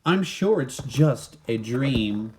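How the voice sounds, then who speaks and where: A man's voice: medium pitch, lively intonation, takes it slowly, slight background noise, voice pushed up. A man voicing a curious, easily alarmed young boy in a storybook, exclaiming and asking earnest questions. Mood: disbelieving.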